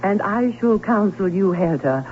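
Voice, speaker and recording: An actress playing a prim, proud older maiden aunt in an old radio drama, lecturing in a formal way. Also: female voice, mid-range voice, expressive, unhurried, natural voice. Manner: prim, lecturing, swooping emphasis. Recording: thin phone-like old radio, humming noise.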